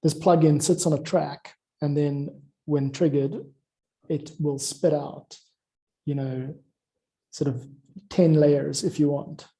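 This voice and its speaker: A man's voice: fairly high pitch, steady tone, slow, warm tone, rough recording. A man talking informally about audio production, explaining things in a rambling way as he thinks aloud. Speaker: audio drama music creator.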